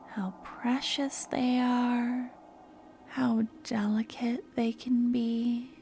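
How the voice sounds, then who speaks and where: A woman plays a young, obsessive stalker girl in an ASMR audio drama. Her voice is high, mellow and very unhurried, slipping often into breathy whispers. She sounds tender and adoring, with menace underneath. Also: heavily acted.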